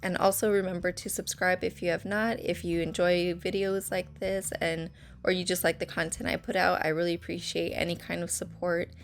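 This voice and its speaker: A woman's voice: on the low side, level tone, normal speed. A woman chatting casually in a voice-over as a video creator, in an informal, rambling way.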